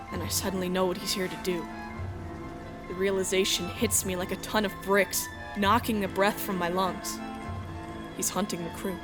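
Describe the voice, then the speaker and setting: A woman's voice: medium pitch, lightly acted. A woman acting a young runaway heroine in a space adventure fan dub, anguished and defiant, with dramatic, emotional delivery. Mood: tense narration. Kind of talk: dramatic first-person narration of a visual novel. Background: music.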